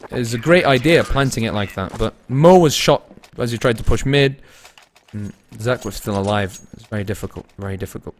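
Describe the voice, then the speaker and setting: A young man casting an esports match, laid-back and tired between plays, rising to fast, excited calls when the action heats up. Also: mid-range voice, a little hiss or hum. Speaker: esports caster. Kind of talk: live esports commentary mixed with chatting to viewers.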